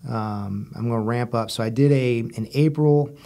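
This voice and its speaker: A man's voice: mid-range voice, normal speed, mellow. A man in his forties speaking as a podcast guest in casual interview chat, easygoing and colloquial, with some military slang. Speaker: podcast guest, Marine officer.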